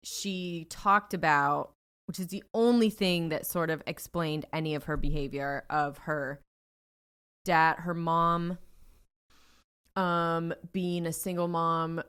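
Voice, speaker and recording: Woman, low voice, blunt, casual swearing chat. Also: steady tone, slow pace, fairly bright tone, smooth. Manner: blunt, swears, mocks people in high sugary voices.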